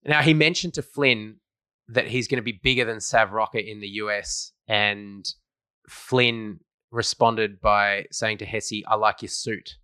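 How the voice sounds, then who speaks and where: A man's voice: fairly low pitch, fairly even intonation, unhurried, sharp tone, smooth, dry recording. A man co-hosting a casual podcast, easygoing and joking, with mock-grand announcements of show segments. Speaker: podcast co-host.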